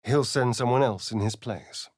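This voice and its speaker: An actor playing a suave, sardonic vampire rogue in a video game, speaking in an arch, drawling, theatrical manner. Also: male voice, mid-range voice, moderate pace, dry recording, studio quality, close to an everyday voice. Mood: wry. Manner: theatrical, arch, drawling, with dramatic swells and hushes. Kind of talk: video game character voice lines.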